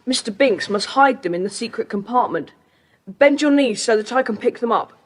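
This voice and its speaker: A woman's voice: a little high, animated. A woman playing an eager, bossy schoolboy who takes charge in a children's audio drama. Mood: urgent. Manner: brisk, take-charge. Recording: faintly hissy cassette tape.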